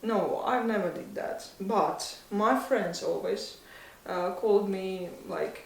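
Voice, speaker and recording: A woman's voice: medium pitch, slow, gravelly. A woman in her twenties with a Russian accent, answering interview questions in a hesitant, thoughtful way, laughing often. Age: 20s. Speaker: interview guest. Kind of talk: casual interview. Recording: echoey room, mic at a distance.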